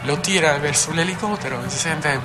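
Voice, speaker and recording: Storyteller, colloquial, mid pitch, recounting a memory, clear music underneath. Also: brisk. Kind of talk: storytelling of a remembered event.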